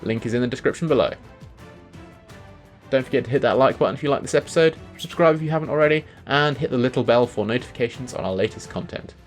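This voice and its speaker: A man's voice: mid-range voice, fast talker, soft background music. A man hosting a video, reading scripted commentary on video game lore. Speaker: video host.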